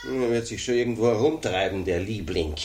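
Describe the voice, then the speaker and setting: An actor playing an indignant, hot-tempered father who sputters and shouts in a 1950s comic radio play. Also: on the low side, a little fast, over music, close to an everyday voice. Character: blustering hot-tempered father, squabbling over his young son. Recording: roomy, distant 1950s radio recording.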